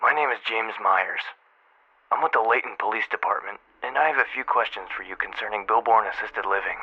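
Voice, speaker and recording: A man acts a formal police officer calling by phone in an audio drama. His voice is husky and on the low side, businesslike, through a dull call filter. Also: brisk, dry recording. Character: businesslike male police officer making inquiries. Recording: muffled, band-limited phone call.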